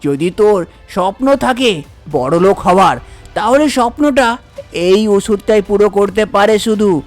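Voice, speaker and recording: A woman's voice: medium pitch, a little slow, darkish tone, soft background music, lightly acted. A woman voicing a bossy, scheming man in a cartoon tale, commanding and teasing a junior.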